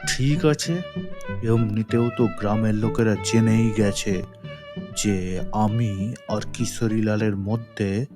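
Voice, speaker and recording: Slow, blustering village wrestler. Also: male voice, fairly low pitch, dark tone. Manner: blustering, theatrical. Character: boastful, stubborn male village wrestler. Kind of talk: cartoon character acting.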